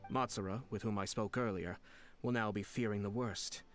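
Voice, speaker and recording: A man voicing a composed, calculating young nobleman in a video game, polite, measured and formal. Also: on the low side, steady tone, natural voice. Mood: composed, commanding. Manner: measured, formal, smooth-talking. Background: soft game music.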